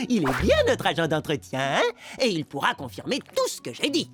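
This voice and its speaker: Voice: mid-range voice, big pitch swings, moderate pace, lightly acted. An actor voicing an upbeat, confident young boy hero in a cartoon, chirpy and emphatic, with music behind. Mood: cheeky. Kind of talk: animated cartoon voice acting.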